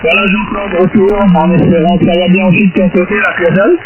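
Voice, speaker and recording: A man's voice: sits high, fairly even intonation, quick pace, over loud music. A man chatting casually as an amateur radio operator over a radio link. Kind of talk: casual radio contact chat. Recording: very noisy, narrow radio transmission.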